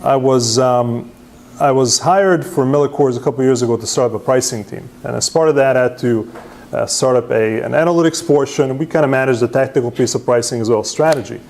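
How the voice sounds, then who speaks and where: A man's voice: medium pitch, brisk, vocal fry, darkish tone. Man giving a meetup talk. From Serbia. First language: Hungarian. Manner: self-deprecating, frequent "right?" tags and restarts. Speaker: presenter at user group meetup. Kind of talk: conference talk with slides.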